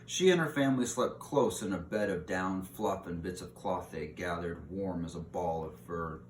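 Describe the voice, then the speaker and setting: In an echoey room, away from the mic, a man with a fairly low voice reads a children's book aloud. His delivery is informal, and he corrects himself when he trips on a phrase. Faint noise sits in the recording. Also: normal speed. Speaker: read-aloud host. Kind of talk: reading a children's novel aloud with casual asides. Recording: roomy echo, distant mic, faint noise.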